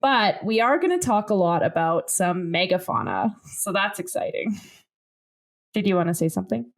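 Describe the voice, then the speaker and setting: A woman's voice: fairly low pitch, big pitch swings, moderate pace, gravelly. A young adult woman hosting a science podcast in casual chat, joking and explaining plainly. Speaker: podcast host.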